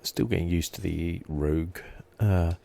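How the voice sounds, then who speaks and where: Male gaming ASMR host: hushed, relaxed commentary, dark warm tone with heavy vocal fry, close-miked. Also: unhurried, a little hiss or hum. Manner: soft-spoken, low-key.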